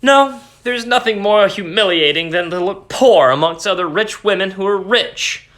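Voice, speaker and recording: A proud, unhappy young wife whose voice sits high and bright, sulky and hurt, as a man reads her part. Her lines come soft and pleading, with a pout behind them. It belongs to a dramatic storybook reading. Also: normal speed. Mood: plaintive.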